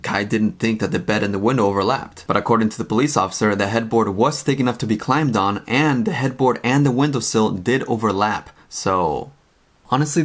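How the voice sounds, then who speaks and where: A young man giving casual opinion commentary in an emphatic, incredulous and opinionated way. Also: lowish voice. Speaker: commentary video host.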